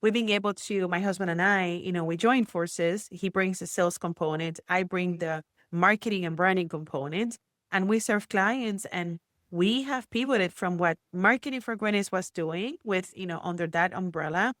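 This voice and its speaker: A woman's voice: fairly low pitch, moderate pace, no echo. Chatty Puerto Rican woman, dry close mic. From Puerto Rico. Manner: chatty, animated storytelling with many fillers. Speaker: guest, entrepreneur. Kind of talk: casual interview chat.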